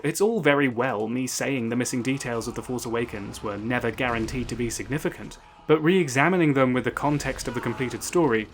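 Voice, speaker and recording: A man hosting a scripted video essay, narrating in a measured, analytical and argumentative way. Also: mid-range voice.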